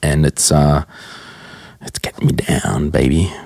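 A young Australian man with a croaky voice hosting a casual solo podcast at his desk, answering listener questions bluntly and chattily. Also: a bass voice, fairly even intonation, measured pace, a little mellow, no echo, studio quality. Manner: chatty, blunt, rambling with fillers. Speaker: podcast host. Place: at a desk, indoors.

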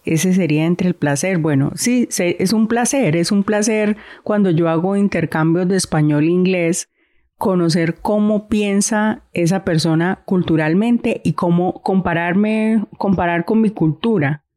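Colombian woman, soft Santander accent, lowish voice, measured and reflective. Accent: Santander Colombian Spanish, softened. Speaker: guest. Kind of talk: casual conversation.

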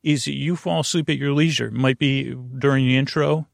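A man hosting a sleep podcast in a meandering, halting bedtime monologue, full of restarts and whimsical, punning tangents, with a gravelly voice. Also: mid-range voice, steady tone, no echo, studio quality. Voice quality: creaky, gravelly. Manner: whimsical, punning, silly asides.

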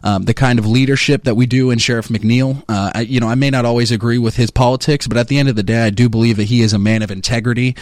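A man in his twenties co-hosting a talk show, speaking earnestly and haltingly, with frequent restarts. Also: mid-range voice, fairly even intonation, a little mellow, no echo, studio quality. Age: 20s. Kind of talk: casual conversation on a talk show.

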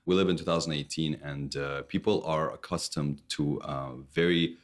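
A man's voice: extremely low pitch, steady tone, normal speed. A man in his thirties from Canada, a startup CEO answering interview questions, with many fillers and restarts.

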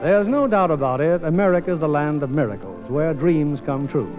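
Animated, fairly high-voiced male radio host. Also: normal speed. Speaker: radio show host and producer. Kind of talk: formal 1950s radio hosting, scripted narration. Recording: muffled old radio broadcast, music.

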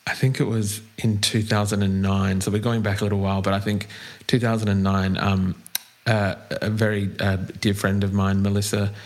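A man interviewing a musician he has long been a fan of, speaking haltingly with many ums. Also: fairly low pitch, flat delivery. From Australia. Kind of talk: interview questions.

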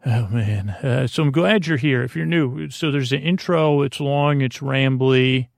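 Casting: American male sleep-podcast host, gravelly and mumbly, rambles and restarts sentences, recorded in a small dry closet. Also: medium pitch, no room sound. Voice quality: gravelly, creaky. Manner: meandering, halting, trails off mid-thought. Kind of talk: rambling bedtime podcast monologue.

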